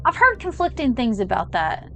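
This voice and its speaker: A woman's voice: on the high side, wildly swooping pitch, moderate pace. A young woman chatting casually while playing a video game, rambling with lots of fillers and side tangents. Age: young adult. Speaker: gaming channel host. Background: game music.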